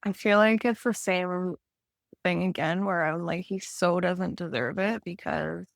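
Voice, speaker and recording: A woman's voice: lowish voice, fairly even intonation, unhurried, gravelly, no room sound, studio quality. A woman from Canada talking candidly and casually as a client in a healing session, rambling and self-reflective, often saying "like". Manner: informal, rambling, self-reflective, with frequent 'like'. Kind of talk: candid, casual therapeutic conversation.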